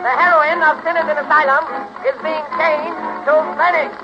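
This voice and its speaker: Female movie gossip reporter, acted, high voice, 1930s radio style, muffled vintage recording. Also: steady tone, moderate pace, over music, crowd noise, lightly acted. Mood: knowing.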